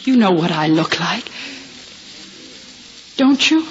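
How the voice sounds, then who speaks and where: An actress playing a proud young woman who has just gone blind, pleading and halting in a 1950s radio drama. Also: lowish voice, lively intonation. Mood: distressed, pleading. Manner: pleading, halting, breaks into stammers. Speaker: hospital patient. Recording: muffled hissy old radio broadcast.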